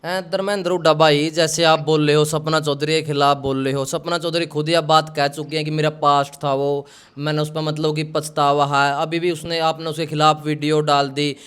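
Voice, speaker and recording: A man's voice: fairly high pitch, flat delivery, normal speed, a bit bright, clear, resonant tone. A man with a Haryanvi accent, hosting a live call interview in colloquial speech. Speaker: media interviewer.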